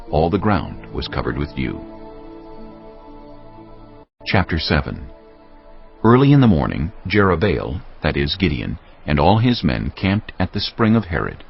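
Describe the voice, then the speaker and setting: A man's voice: rumbling low, vocal fry. A man narrating a dramatised audio Bible in a scripted, dramatic storytelling style. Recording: muffled audio under music.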